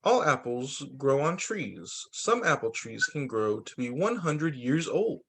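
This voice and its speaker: A man who is a teacher reading a children's picture book aloud in an expressive, sing-song storytelling way. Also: mid-range voice, measured pace, breathy edge. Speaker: teacher reading to young students.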